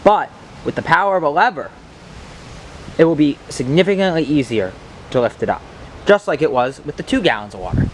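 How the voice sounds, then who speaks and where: A man's voice: on the high side, expressive, fairly quick, long pauses, slight background noise, poor audio. A young man reading aloud and explaining simple science for children as a host.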